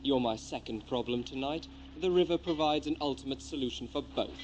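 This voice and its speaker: A man's voice: medium pitch, brisk, a little mellow, a little hiss or hum, natural voice. A man playing a cold, courteous plotter in an audio drama, speaking with formal, drily menacing precision. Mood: smug command.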